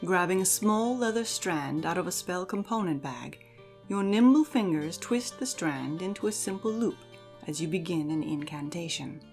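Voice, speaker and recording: A woman giving a measured, theatrical reading as narrator of a fantasy audio drama. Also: fairly low pitch, darkish tone. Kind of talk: dramatic second-person fantasy story narration.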